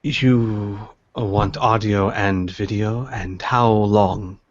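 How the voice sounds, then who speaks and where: A man voicing a polite, deliberate old wolfen tea-house keeper who deals in shady goods in a tabletop game. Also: on the low side, steady tone, dry recording, muffled recording, pitched below the performer's own voice. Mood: measured, shrewd. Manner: deliberate, courteous, musing with drawn-out pauses.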